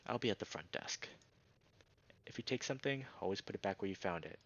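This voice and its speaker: A man's voice: on the low side, lively intonation, fairly quick, dull audio. A man voicing a bitter, hot-tempered young male reptile in a visual novel, blunt, sweary and defensive. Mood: curt. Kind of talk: visual novel character read-aloud.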